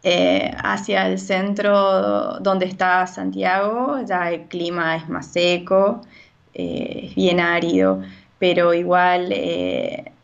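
A woman's voice: fairly low pitch, expressive, slow pace, gravelly, muffled recording. A woman from Chile, a real estate agent giving hesitant interview answers full of fillers and restarts.

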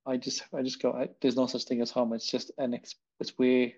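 A man's voice: medium pitch, monotone, a little fast, darkish tone, muffled recording. A man hosting a podcast, chatting casually and telling stories in a rambling way with many repeated words. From Africa. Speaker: podcast host. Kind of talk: casual interview chat.

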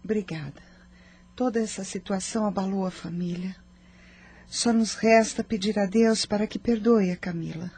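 A woman playing a grieving but composed aunt in a radio drama, speaking gently and subdued. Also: medium pitch, moderate pace, slightly rough. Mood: subdued grief.

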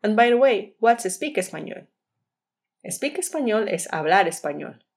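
A woman hosting a bilingual Spanish lesson podcast, speaking with a blend of Mexican and Colombian accents in a calm, measured way and repeating each sentence in English. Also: mid-range voice, breathy edge. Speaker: Spanish teacher and podcast host.

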